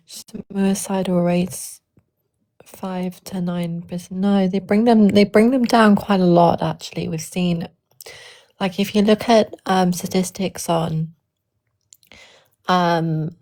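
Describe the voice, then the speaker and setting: A woman joining a livestream debate, hesitant and filling pauses while she searches for words. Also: fairly low pitch, darkish tone. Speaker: livestream guest. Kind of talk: casual debate.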